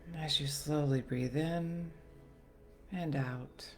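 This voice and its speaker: A woman leading a guided meditation in a soothing, gentle, unhurried manner with long pauses. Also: low voice, creaky. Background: soft background music.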